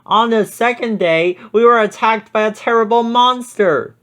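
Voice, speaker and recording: A man's voice: high voice, moderate pace, a bit bright, pitched above the performer's own voice. A man voicing a shaken, earnest young camper in a storybook, retelling things dramatically. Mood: frightened.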